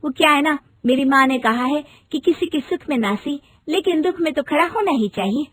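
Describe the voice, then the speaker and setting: A woman's voice: high-pitched, normal speed, fairly bright tone, no room sound. A woman voicing a cheerful young daughter-in-law who laughs through her words in a breezy way. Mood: giggly. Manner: giggly, breezy.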